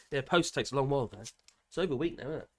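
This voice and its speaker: An older man with grandchildren, hosting a casual live stream, hoarse from a cold and chatting in a rambling way with many fillers and restarts. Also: medium pitch, quick pace, a bit bright. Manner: rambling, chatty, with frequent fillers and self-corrections.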